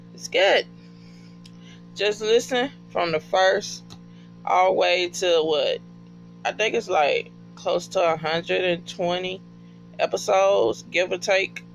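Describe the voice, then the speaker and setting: A man's voice: sits high, expressive, slow, gravelly, long pauses, fairly bright tone, soft background music, low-quality recording. A man chatting casually and off the cuff, slangy and profane, with emphatic repeated phrases. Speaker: podcast fan giving recommendations. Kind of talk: casual, unscripted chat.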